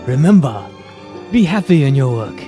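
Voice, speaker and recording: A mid-pitched, swooping voice of a stern, mocking camp commandant, acted by a man in a radio parody. Loud music plays with it. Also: big pitch swings, normal speed, lightly acted.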